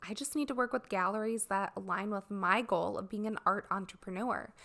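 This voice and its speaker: Woman podcast host, raspy from a cold. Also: medium pitch, brisk. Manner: earnest, explanatory, steady. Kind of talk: solo podcast talk to artists.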